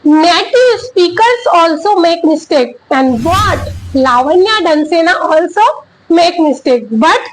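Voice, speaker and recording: Slow, high-voiced Indian woman encouraging learners. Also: expressive, noisy background. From Chhattisgarh, India. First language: Chhattisgarhi. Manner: halting, searching for words, simple non-native grammar. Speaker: English-learning YouTuber. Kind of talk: casual talk to camera.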